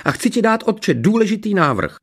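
A man voicing a gushing, spiteful young princess in a fairy tale, enthusiastic and quick to snap. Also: high voice, very animated, slightly rough, pitched above the performer's own voice, full character voice. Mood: snappish. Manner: enthusiastic, snappish, tattling.

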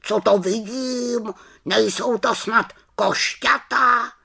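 A woman's voice: a little high, creaky, pushed and bright, husky, voice pushed up, full character voice. A woman voicing a remorseful, devoted talking raven in a storybook reading. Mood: amazed. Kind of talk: storybook character voice.

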